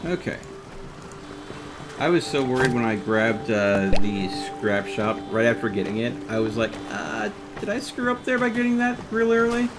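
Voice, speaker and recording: A man with an average-pitched voice streams a game with casual commentary. He thinks aloud, talking to himself and to the game as he plays. Music plays clearly behind his voice. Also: very animated, moderate pace. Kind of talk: casual live gameplay commentary.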